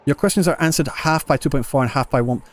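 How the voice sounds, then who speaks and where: A man's voice: mid-range voice, quick pace. A man livestreaming a game, talking casually to viewers in a rambling, stop-start way, on a close mic. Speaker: game streamer. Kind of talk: casual livestream chat answering viewer comments. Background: game music.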